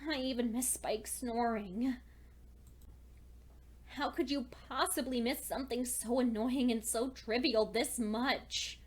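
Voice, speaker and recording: Casting: woman to play lonely bookish female pony for dark fan fiction audio, high voice, earnest and anxious, deliberate dramatic pauses. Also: fairly even intonation. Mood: distressed, lonely. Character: lonely studious female pony, anxious diarist clinging to hope. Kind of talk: dramatic fan fiction reading, diary entries.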